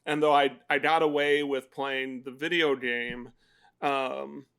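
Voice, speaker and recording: A man co-hosting a film podcast, talking casually in a rambling way with pauses and restarts. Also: medium pitch, flat delivery, creaky, a bit bright, smooth. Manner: rambling, many fillers and restarts. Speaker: podcast co-host. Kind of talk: casual chat.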